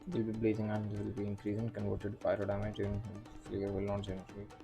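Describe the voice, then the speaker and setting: Casual male gamer, low voice, muttered blunt remarks over music. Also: completely flat delivery, fast, gravelly. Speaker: gamer commentating on play. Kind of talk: casual gameplay commentary. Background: game music.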